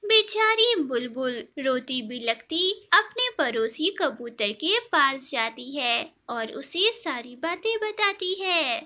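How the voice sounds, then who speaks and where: Bright, high-voiced woman telling children's story. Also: big pitch swings, slow pace, few pauses. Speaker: narrator. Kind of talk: scripted children's story narration.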